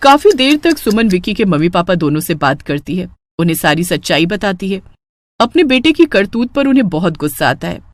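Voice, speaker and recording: A woman's voice: mid-range voice, sing-song, brisk. A woman narrating a scripted family drama story.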